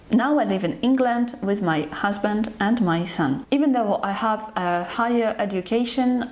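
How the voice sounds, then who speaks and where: Young woman from ukraine, medium pitch, earnest and kinda hesitant, just chatting to her subscribers. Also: darkish tone. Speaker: channel host. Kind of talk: personal talk to camera.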